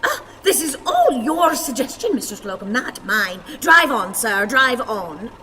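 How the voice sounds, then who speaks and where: A woman's voice: a little high, very animated, unhurried, pushed and bright, heavily acted. A woman playing a stout, cantankerous, self-pitying old woman in a radio play, with theatrical, exclamatory wailing and lamenting. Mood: fretful, straining. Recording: reverberant hall, audience noise.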